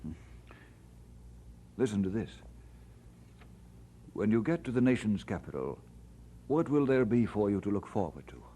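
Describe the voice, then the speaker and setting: An actor playing an earnest, conscientious male pastor in an audio drama, speaking in a measured, deliberate way. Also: fairly low pitch, big pitch swings, mellow. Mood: sober reading. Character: earnest, conscientious male pastor torn over his calling. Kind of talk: dramatised radio-play acting.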